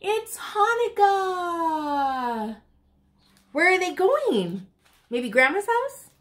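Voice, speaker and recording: A woman's voice: very high voice, extremely slow. An American woman, around her thirties, reading aloud expressively as the host of a children's storytime. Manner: addresses young listeners, expressive picture-book reading.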